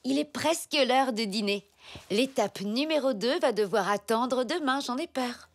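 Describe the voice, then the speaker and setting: A woman's voice: a little high, a little slow. A woman voicing a caring, practical zebra mother in a children's cartoon. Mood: gently cautioning. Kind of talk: children's cartoon dub acting.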